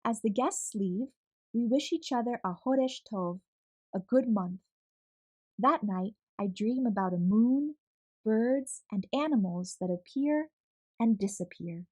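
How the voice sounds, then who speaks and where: A woman reading a children's picture book aloud with an expressive storytelling manner. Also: a little high, a little slow.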